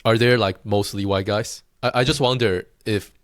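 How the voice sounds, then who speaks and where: A man interviewing a guest, asking brief, relaxed questions. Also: fairly low pitch, moderate pace, dry recording, clean studio sound.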